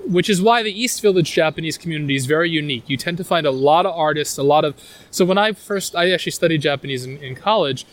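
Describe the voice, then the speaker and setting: Brief: New York-accented man, fairly high voice, rambling outdoor tour guide, lots of ums and restarts. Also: fast talker. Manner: rambling, enthusiastic, many fillers and restarts. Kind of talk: casual walking-tour chat. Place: outdoors on city streets.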